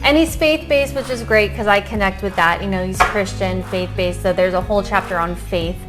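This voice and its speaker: A woman's voice: medium pitch, moderate pace, over music. A middle-aged woman co-hosting a casual vlog chat, talking with enthusiasm and supportive agreement. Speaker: co-host of a couple's book-review vlog.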